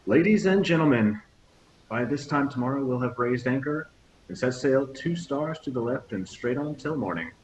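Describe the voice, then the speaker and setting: A man's voice: mid-range voice, expressive, moderate pace, a little hiss or hum, lightly acted, low-quality recording. Brief: stoic captain, speech-like delivery, male actor. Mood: commanding. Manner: formal, speech-like address. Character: starship captain, stoic, commanding. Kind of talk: table read acting.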